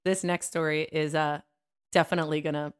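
A woman in her thirties with a somewhat low voice co-hosts a podcast. She chats and tells stories informally. Her way of talking is playful and teasing. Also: level tone, fairly quick, clean tone. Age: 30s. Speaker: podcast co-host. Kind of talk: casual chat and storytelling.

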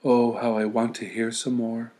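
A man's voice: mid-range voice, monotone, normal speed, mellow, natural voice, low-quality recording. A man voicing a sweet, innocent little girl in a storybook tale. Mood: dreamy longing.

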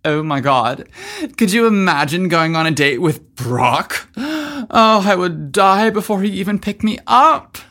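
A man voicing a gushing, swooning teenage high school girl in a dramatic story narration. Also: expressive, unhurried, pushed and bright, exaggerated voice. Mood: excited, swooning.

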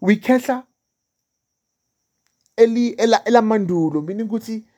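A woman's voice: a little high, normal speed, warm tone. A woman hosting a casual interview, mixing Zulu and English, with frequent affirmations and fillers. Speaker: host.

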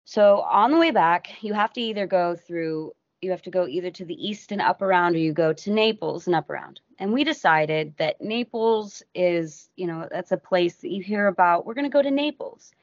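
An American woman, a podcast guest, telling a travel story in a casual, animated way that keeps breaking off and acting out what people said. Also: lowish voice, moderate pace, few pauses, no room sound. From United States. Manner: animated, self-interrupting, acts out quotes. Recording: dull lo-fi audio.

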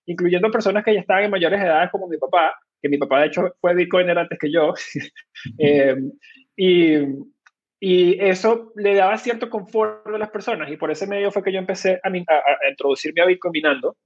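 A man's voice: sits high, moderate pace, slightly rough, muffled recording. A man from Venezuela with a Venezuelan accent, a company cofounder explaining things at length in an interview, with many fillers and restarts. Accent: Venezuelan Spanish. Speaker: guest, crypto company cofounder. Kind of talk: conversational interview answers.

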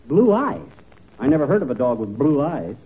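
A man's voice: mid-range voice, big pitch swings, normal speed, close to an everyday voice. A man playing a blustery, bumbling but kindly married wisecracker with folksy, slangy patter, in 1940s radio comedy style. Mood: teasing. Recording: tinny, noisy 1940s radio recording.

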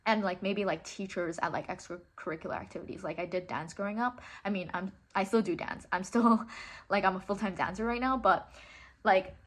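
A woman's voice: mid-range voice, brisk. A woman in her twenties from Hong Kong, with an international-school English accent, chatting casually and rambling as she hosts her own podcast from her living room floor. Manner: chatty, lots of fillers and little laughs. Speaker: podcast host.

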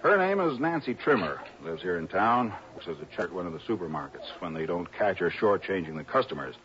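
A man's voice: low voice, sing-song, brisk, a bit bright. An actor playing a fretful, obliging American insurance man in a 1960s radio drama, speaking in an earnest, hesitant, confiding way. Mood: confiding, disapproving. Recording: dull old radio broadcast.

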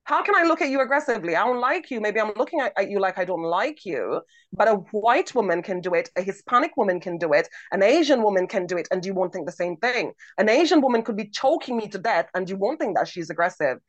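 A woman's voice: medium pitch, lively intonation, fast talker, dry recording, muffled recording. A woman co-hosting a podcast, talking emphatically with a big, strong voice and repeating words for emphasis. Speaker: podcast co-host. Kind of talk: conversational podcast commentary.

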